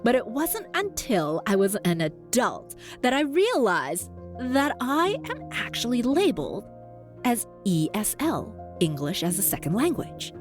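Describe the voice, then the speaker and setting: A woman in her forties, a native Cantonese speaker, hosting a podcast in an upbeat, clear and deliberate scripted monologue. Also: a little high. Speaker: podcast host.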